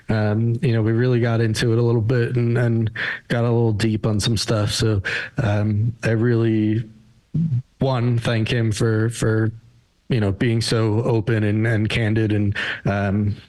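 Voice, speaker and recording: A man hosts a podcast in an easygoing, rambling manner. His voice sits at an ordinary middle pitch. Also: a little mellow, rough recording. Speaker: podcast host. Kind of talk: casual podcast chat.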